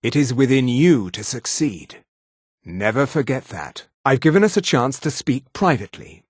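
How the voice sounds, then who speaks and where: A haughty male high elf mage for a fantasy video game. His mid-pitched voice moves expressively up and down, with a husky edge and formal, precise diction. The recording is close and dry. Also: sing-song, moderate pace, no echo. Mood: earnest, measured. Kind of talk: scripted video game character lines.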